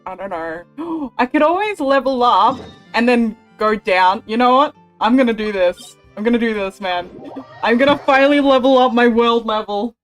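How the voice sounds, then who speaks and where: A woman's voice: on the high side, normal speed. A woman livestreaming a video game, chatting casually to herself and her viewers. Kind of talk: casual gaming livestream commentary. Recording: game music behind the voice. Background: video game music.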